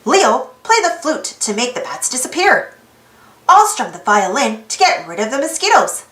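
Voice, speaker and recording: A woman's voice: on the high side, very animated, normal speed, fairly bright tone, roomy sound. A woman voicing an eager, earnest and emotional little boy in a children's storybook. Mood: brave. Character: plucky, emotional little boy Quincy, eager team leader.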